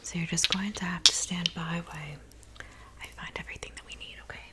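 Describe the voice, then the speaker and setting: A woman playing a soft-spoken witch in an ASMR roleplay, whispering breathily and unhurriedly, as if to a client close by. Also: fairly low pitch, fairly even intonation. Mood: gentle, attentive. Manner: unhurried, intimate, addressing the listener as a client. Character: soft-spoken witch mixing love potions in her cabin.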